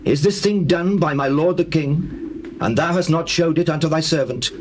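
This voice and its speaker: Man acting a grave high-voiced prophet. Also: normal speed, darkish tone, slight background noise, close to an everyday voice. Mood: indignant, questioning. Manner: declamatory, formal. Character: grave, indignant prophet addressing his king. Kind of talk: dramatized scripture reading in biblical English.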